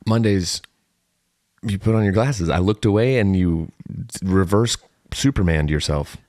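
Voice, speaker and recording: A man in his forties co-hosting a podcast in casual, joking chat with a deadpan, self-mocking manner. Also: low voice, unhurried, a little hiss or hum. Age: 40s. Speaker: podcast co-host.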